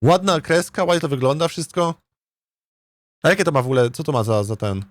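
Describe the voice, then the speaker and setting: A man's voice: fairly high pitch, lively intonation, fairly quick, no room sound, studio quality. A man chatting casually as a game streamer, thinking aloud in a hesitant, rambling way and often repeating his words. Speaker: streamer, let's play host.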